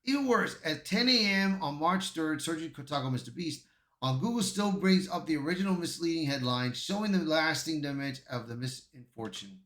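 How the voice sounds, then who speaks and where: A man's voice: fairly high pitch, lively intonation, normal speed, a bit bright. A man giving online commentary, reading out an article with casual asides and stumbling over some words. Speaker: YouTube commentator. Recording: echoey untreated room.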